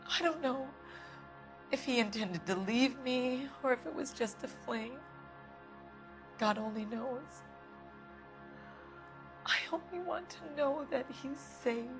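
A prim, middle-aged American wife in a detective game drama. She is anxious and guarded, easily affronted when questioned. Music plays clearly behind her. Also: high voice, animated, takes it slowly, long pauses, heavily acted. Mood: bitter, anxious. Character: prim, anxious, indignant wife of a missing man. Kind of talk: dramatic game cutscene acting.

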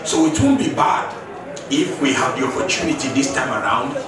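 A man's voice: medium pitch, lively intonation, moderate pace, crowd noise. A middle-aged man speaking as the winning football coach at a post-match press conference, expansive and emphatic, his voice climbing on the points he stresses. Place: large echoey press room. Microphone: table mic at a distance. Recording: echoey room, mic at a distance.

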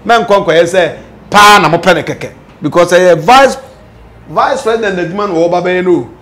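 A Ghanaian-accented man in middle age speaks in a high-set voice as a political commentator. He switches between languages, presses his points with questions and repeats phrases for emphasis. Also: normal speed, a bit bright. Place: indoor studio set.

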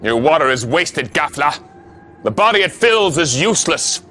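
A disdainful desert tribesman delivers deliberate menace with lively swings in pitch. It is sci-fi game acting with faint noise behind. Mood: contemptuous, menacing. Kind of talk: science fiction game cutscene acting.

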